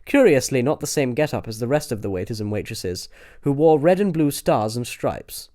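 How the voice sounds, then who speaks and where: Male narrator, medium pitch, scripted comic storytelling, audiobook. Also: expressive, moderate pace, dark tone.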